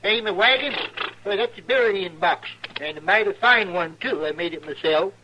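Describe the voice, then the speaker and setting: An actor playing a simple, earnest, talkative drifter with a husky rural Western American drawl in an old radio western. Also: male voice, high-pitched, lively intonation, normal speed, sharp tone, slight background noise. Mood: friendly, guileless. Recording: muffled old radio broadcast.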